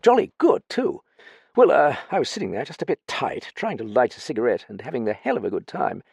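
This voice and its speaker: A man voicing a naive, flustered young gentleman with hesitant, stammering upper-class slang. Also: mid-range voice, huge pitch swings, brisk, gravelly, husky, no room sound, voice pushed up, heavily acted. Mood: chatty, sheepish. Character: plump young English gentleman, naive, flustered, rather foolish.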